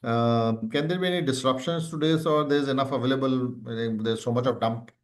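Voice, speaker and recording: A man's voice: mid-range voice, a little fast. Indian man moderating a business call. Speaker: earnings call moderator relaying investor questions. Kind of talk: business question-and-answer call.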